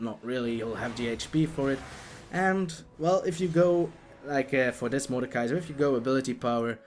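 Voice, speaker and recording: A young man with a Dutch accent giving excitable, jokey live commentary on a video game, talking to the players on screen. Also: medium pitch.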